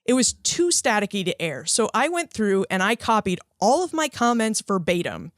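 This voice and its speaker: A woman's voice: medium pitch, normal speed, no echo, studio quality. A woman hosting a history podcast, reading her intro and questions in an upbeat, scripted way. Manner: lively, upbeat, reads from script. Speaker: podcast host. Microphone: re-recorded studio voice-over.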